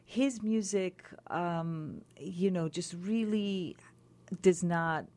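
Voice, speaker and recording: A woman cellist as a radio interview guest, talking thoughtfully with many pauses and restarts. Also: fairly low pitch, mellow. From Israel.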